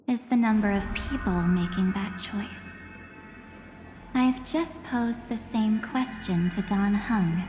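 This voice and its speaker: A woman playing a coolly taunting, sinister antagonist in a game dub, deliberate and teasing, with phrases trailing into breathy whispers. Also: mid-range voice, dark tone, lightly acted. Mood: mocking. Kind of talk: English game dub voice acting. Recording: echoey hall reverb, music behind.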